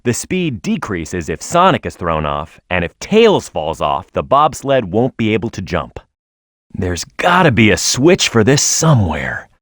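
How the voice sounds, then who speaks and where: A man's voice: fairly low pitch, huge pitch swings, unhurried, no echo, clean studio sound, full character voice. A man voicing a tough, hot-headed echidna brawler in a video game, confident and brash, with punchy, emphatic delivery. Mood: instructive, firm. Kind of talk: video game voice acting, tutorial hints and battle shouts.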